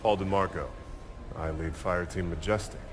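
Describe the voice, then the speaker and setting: An actor playing a cocky, flirtatious fireteam leader in a game film, with an informal, swaggering manner. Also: male voice, deep voice, steady tone, moderate pace, background noise, lightly acted. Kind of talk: cinematic game acting. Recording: music behind dialogue.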